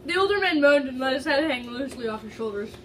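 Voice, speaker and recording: A woman's voice: sits high, lively intonation, fast, fairly bright tone. A woman reading fan fiction aloud dramatically, stumbling over words and breaking into giggly casual asides. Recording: distant mic with faint background noise.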